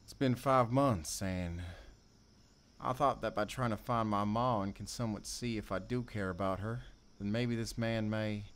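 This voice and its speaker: An actor playing a remorseful drifter confessing a violent past in audio theater, speaking haltingly and broodingly. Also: male voice, lowish voice, close to an everyday voice. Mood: uncertain, subdued.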